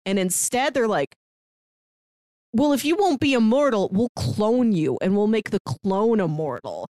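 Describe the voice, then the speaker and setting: A woman co-hosting a podcast, chatting casually and retelling stories in an animated way. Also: medium pitch, unhurried, dry recording, clean studio sound. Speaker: podcast co-host. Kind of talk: casual book discussion.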